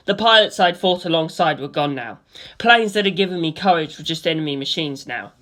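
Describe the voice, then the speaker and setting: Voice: mid-range voice, a little fast, pushed and bright. First-person storyteller with spoken sound effects. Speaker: narrator. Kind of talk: first-person audiobook narration.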